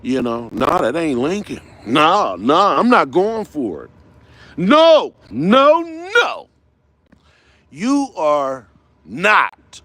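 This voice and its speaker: Unwell man of fifty, livestream host, loose slangy ramble, unhurried with a lively pitch. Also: no echo. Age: 50s. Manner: rambling, street slang, repeats himself. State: unwell, tired. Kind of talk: casual rambling livestream talk.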